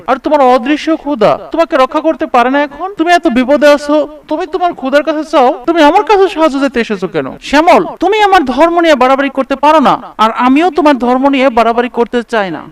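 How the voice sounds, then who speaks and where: A cartoon village fisherman played by a man with an extremely high voice. He speaks emphatically, declaiming each line in dramatic dialogue. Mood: angry, scornful, then indignant. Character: two village fishermen, one pious and poor, one scornful.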